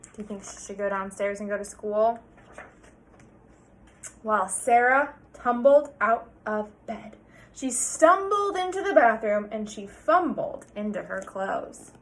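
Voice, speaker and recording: A woman's voice: mid-range voice, lively intonation, a little slow, a bit bright, a little hiss or hum, rough recording. A woman teacher reading a picture book aloud to children, explaining things and prompting them with questions.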